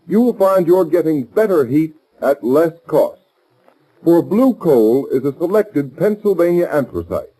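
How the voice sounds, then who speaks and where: High-pitched, animated American radio announcer man. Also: low-quality recording. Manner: polished, formal, emphatic salesmanship. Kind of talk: 1930s radio advertising pitch. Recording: old 1930s radio broadcast recording.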